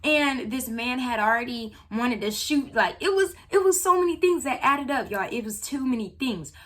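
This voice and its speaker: A woman of nineteen telling a story about a job to viewers in a chatty, animated way. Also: on the high side, moderate pace. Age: young adult. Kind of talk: casual storytime.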